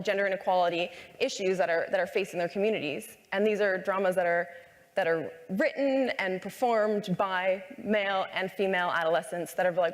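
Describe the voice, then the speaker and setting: Woman wrapping up on a panel, earnest, repeats herself a bit, echoey big hall. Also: mid-range voice. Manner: earnest, repeats words as she goes. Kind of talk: conference panel closing remarks. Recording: long reverb of a large hall.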